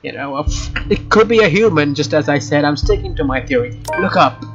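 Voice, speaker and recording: A man's voice: a little high, lively intonation, a little fast, highs cut off. A man narrating a let's play, reading game text aloud and adding informal, joking asides. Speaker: let's player. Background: game music.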